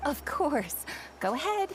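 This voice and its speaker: A woman's voice: high-pitched, sing-song, slow, music in the background, exaggerated voice. A woman voicing a poised young fashion designer in a video game, composed and polite. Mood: composed, friendly.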